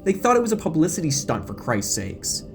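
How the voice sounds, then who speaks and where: A man's voice: fairly high pitch, wildly swooping pitch, brisk, vocal fry, over music, full character voice. A man voicing a troubled, earnest young woman student who pleads insistently in an audio drama. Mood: urgent.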